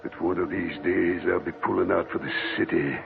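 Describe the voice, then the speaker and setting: A man's voice: low-pitched, fairly quick, gravelly, faint music behind. An actor playing an older male desert hermit, greedy and haunted, in a 1940s radio drama. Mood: brooding. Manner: drawling monologue, then panicked shrieks. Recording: tinny old radio broadcast.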